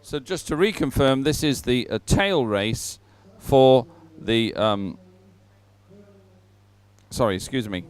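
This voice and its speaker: A man hosts live sports coverage in a crisp, clear voice at a middling pitch. He speaks off the cuff, chatty and a little halting as he keeps viewers informed. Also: animated. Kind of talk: unscripted live event presenting.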